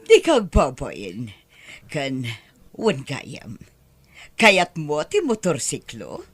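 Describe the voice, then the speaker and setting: A woman's voice: sits low, huge pitch swings, drawn-out words, breathy edge, heavily acted. A woman acting a wealthy, doting, forward lady in an Ilocano audio drama, with a coquettish, sighing delivery. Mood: flirtatious, coaxing.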